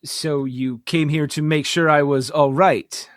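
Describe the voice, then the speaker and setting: A man's voice: on the high side, fairly even intonation, unhurried, lightly acted. A man acting an awkward, joking space station officer with a hesitant manner in an audio drama.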